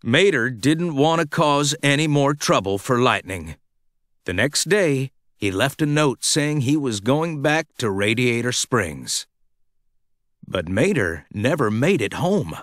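A man narrating a scripted children's read-along storybook with a dramatic, expressive delivery. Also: medium pitch, slow, no echo, studio quality.

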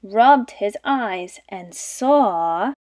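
A woman's voice: mid-range voice, drawn-out words. A young woman teacher reading aloud and explaining things expressively to young children. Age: young adult. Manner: expressive, addressing small children with questions. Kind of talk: story read-aloud and lesson for young children.

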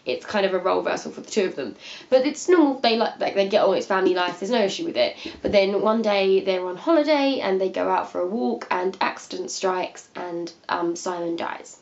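A woman's voice: mid-range voice, a little fast, highs cut off. A young woman in her twenties with a sniffly cold, rambling casually through a book review in her living room. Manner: rambling, chatty, many fillers and restarts. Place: living room by a window seat, indoors.

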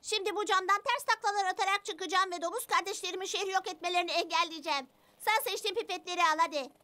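A woman's voice: extremely high pitch, quick pace, pushed and bright, soft background music. A woman voicing a brash, impatient little superhero girl in a cartoon dub, blunt and grumbling. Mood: exasperated.

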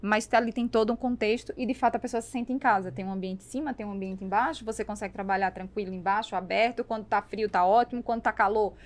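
A woman's voice: mid-range voice, steady tone, fast talker, hardly any pauses. A woman in her thirties with a Brazilian accent, chatting emphatically on a podcast and checking for agreement. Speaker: podcast panelist. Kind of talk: casual chat. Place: small foam-treated podcast studio. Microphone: close studio mic on boom arm.

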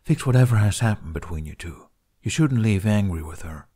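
A calm male dragon companion in a fantasy audiobook, voiced by a man. He reasons firmly and gives orders in a fairly low voice. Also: brisk, breathy edge.

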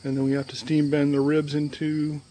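A man's voice: a little high, moderate pace, a little hiss or hum. Easygoing man narrating a garage build. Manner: relaxed and plainspoken, with frequent ums and uhs. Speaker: woodworking hobbyist filming his canoe build. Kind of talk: casual, unscripted workshop vlog. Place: home garage and driveway, outdoors at times.

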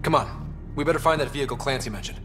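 A man's voice: mid-range voice, quick pace, music in the background, natural voice. A man playing a young space explorer in a video game, a casual and determined leader. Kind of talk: scripted video game dialogue.

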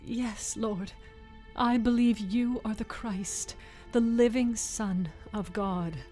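A man's voice: very high voice, normal speed, faint music behind, pitched above the performer's own voice. A man voicing a grieving, accusing woman in a storybook. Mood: pleading. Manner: shouting then pleading, tearful.

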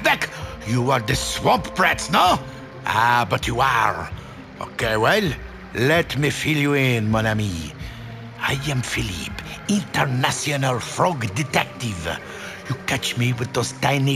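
An actor playing a fussy, self-important frog detective in a cartoon video game, with a theatrical French accent and a gravelly voice. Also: male voice, fairly high pitch, full character voice. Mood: indignant. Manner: theatrical, teasing.

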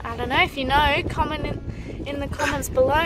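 A woman's voice: high voice, steady tone, normal speed. A young Australian woman vlogging on a windy jetty, chatting and giggling to a phone held at arm's length. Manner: chatty and giggly, talks to the camera. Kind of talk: casual vlog narration. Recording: loud background music.

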